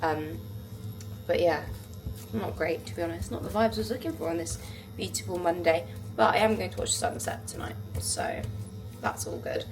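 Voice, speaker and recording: A woman's voice: fairly low pitch, moderate pace, far from the mic, over music. A young woman with a blocked-up, congested voice, chatting casually to camera in her home kitchen and rambling with lots of "like". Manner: rambling, filler-heavy, says "like" constantly. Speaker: vlogger. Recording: echoey room with background noise.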